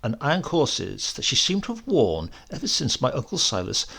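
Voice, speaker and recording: A man reading a literary short story as an audiobook narrator, in a measured, expressive way. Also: mid-range voice, gravelly, slightly rough.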